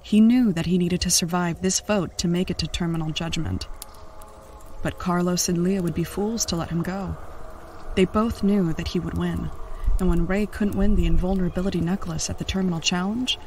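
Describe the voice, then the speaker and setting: An actor playing a sardonic podcast sidekick and investigator in an audio drama, with a dry delivery. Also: male voice, high-pitched, steady tone, normal speed, a little mellow, a little hiss or hum. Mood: suspenseful reading.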